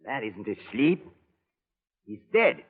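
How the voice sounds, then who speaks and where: An actor playing a suave, slyly mocking baron who speaks formally and archly in an old radio drama. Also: male voice, medium pitch, very animated, slow. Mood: startled. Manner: formal, smooth-talking, arch. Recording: narrow, lo-fi vintage radio recording.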